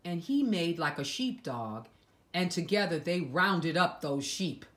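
A woman reading a picture book aloud to young children, with dramatic emphasis and hushed asides to her listeners. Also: lowish voice, lively intonation, unhurried. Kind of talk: expressive read-aloud storytelling for young children.

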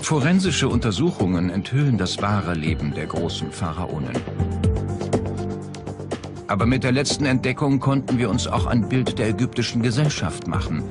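A man narrating a documentary from a script. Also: on the low side. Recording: music behind the voice. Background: prominent background music.

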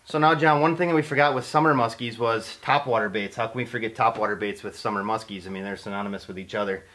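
A man's voice: lowish voice, fast, fairly bright tone. Man, forties, channel host; casual, chatty interviewer, camera mic in a small indoor studio. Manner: casual, listing lures, asking questions. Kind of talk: interviewer in a fishing video. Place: indoor studio in front of a banner.

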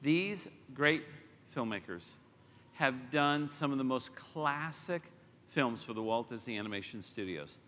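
A man's voice: mid-range voice, lively intonation, a little slow, bright, forceful tone, slight background noise. A middle-aged man presenting and proudly announcing films on a large arena stage. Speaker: studio executive presenting on stage. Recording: arena sound with long reverb.

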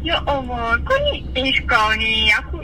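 High-pitched, defensive young Malaysian woman on phone. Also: sing-song, measured pace, sharp tone. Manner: defensive, exclamatory. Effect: phone speaker. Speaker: prank call recipient. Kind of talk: casual phone chat. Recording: noisy phone call played in car.

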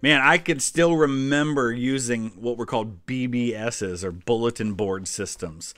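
A man's voice: mid-range voice, a little slow, a bit bright, no echo, studio quality. An American man in his forties giving casual, conversational commentary as a history channel host. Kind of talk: casual reaction commentary to a quiz.

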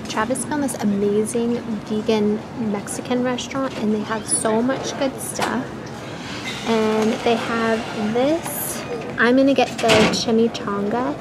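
A young woman with a fairly high voice chats to her handheld camera, giggly and talking straight to her viewers. The recording is noisy, with steady background sound under her voice. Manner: chatty, giggly, addresses viewers directly. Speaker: vlogger. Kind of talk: casual vlog chat. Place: car, then outdoors and at home. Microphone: handheld camera at arm's length.

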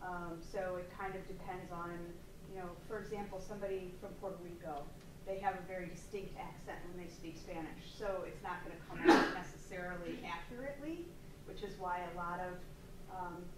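A woman in a workshop audience speaking up in a measured, explanatory way during the discussion. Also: fairly low pitch. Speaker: workshop participant. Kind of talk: discussion comment. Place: seminar room. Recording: distant, echoey, noisy room mic.

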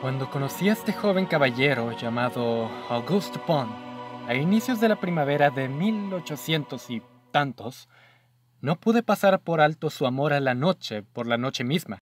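A man playing an earnest young gentleman who narrates and is easily astonished, in a student radio drama. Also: fairly high pitch, lively intonation, normal speed, natural voice. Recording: dry voice over soft music.

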